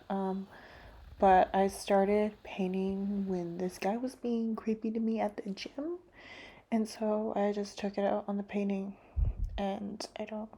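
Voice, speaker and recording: A woman painter giving a soft, halting, reflective talk about her painting, full of fillers and drifting into whispers. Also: medium pitch, creaky.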